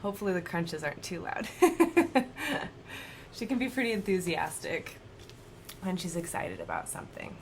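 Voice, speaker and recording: A woman in her thirties chatting at home about her stitching, slightly raspy from a cold and laughing often. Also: lowish voice, lively intonation, moderate pace, slight background noise. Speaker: cross-stitch vlogger. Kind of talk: casual chat to camera. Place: home, indoors.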